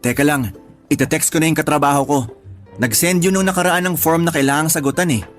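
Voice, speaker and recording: A man's voice: fairly high pitch, fast, soft background music, natural voice. A man with a Filipino accent acting a practical, teasing friend of twenty-seven in colloquial radio drama dialogue. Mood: reassuring. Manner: colloquial, bantering. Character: 27-year-old male friend, teasing, practical, slightly older.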